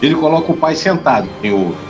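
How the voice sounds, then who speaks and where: A man's voice: a little high, expressive, fast, lo-fi sound. A man narrating a scripted audio drama, reading out the scene action. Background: clear music.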